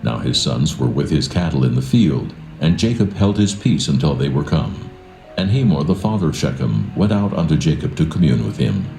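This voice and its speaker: A man narrating scripture in a dramatised reading, solemn and measured. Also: rumbling low, big pitch swings, gravelly. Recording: roomy echo, soft music behind.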